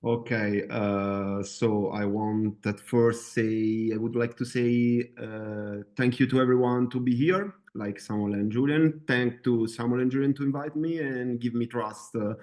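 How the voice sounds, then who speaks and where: Halting Italian-accented man presenting. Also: mid-range voice, steady tone, darkish tone. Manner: hesitant, halting non-native English. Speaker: guest presenter, MRI radiographer. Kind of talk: webinar presentation.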